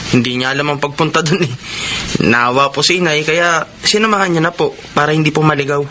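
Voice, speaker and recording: A man's voice: on the high side, brisk, sharp tone, a little hiss or hum. A man acting a kind, dutiful young son in a radio drama, speaking politely with a Filipino accent. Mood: earnest, respectful. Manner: polite, deferential.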